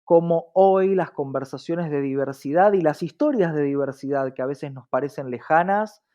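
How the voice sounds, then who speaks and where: A man's voice: on the high side, normal speed, dark tone. A man with a Rioplatense Argentine accent giving reflective, explanatory interview answers with earnest repetition and rhetorical questions. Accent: Rioplatense Argentine Spanish. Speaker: interview guest, diversity specialist. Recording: slightly dull, lo-fi sound.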